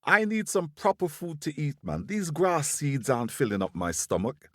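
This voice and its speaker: A man performs a tiny, cocky squirrel from an animal folktale. The voice jumps high and swings widely as it blusters with theatrical bravado, close and dry. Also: sing-song, normal speed, a bit bright, no echo. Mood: boastful craving. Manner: theatrical bravado, exclaiming and pleading. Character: tiny bold boastful male squirrel bluffing a lion.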